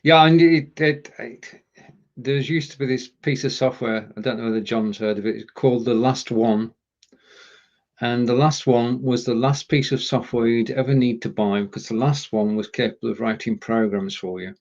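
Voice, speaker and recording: The audio is slightly dull and lo-fi, from an online call. A man from England with a medium-pitched voice hosts casually, explaining his points in a stop-start way. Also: moderate pace, a little mellow. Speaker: show host and producer. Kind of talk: casual chat. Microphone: live streaming video call.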